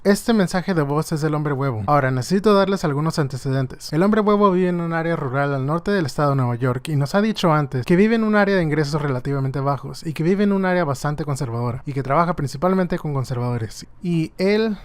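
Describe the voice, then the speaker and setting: A man's voice: on the high side, lively intonation, fast talker, hardly any pauses, clear, resonant tone, dry recording, clean studio sound. A man with a Latin American Spanish accent, dubbing a political talk show clip as a fan.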